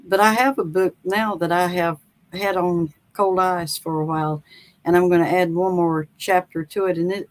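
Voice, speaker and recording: A woman author from North Carolina with a Southern accent, chatting in an interview in a slow, folksy, rambling way with many pauses and fillers. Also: lowish voice, darkish tone, low-quality recording. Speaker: author guest. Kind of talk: casual interview.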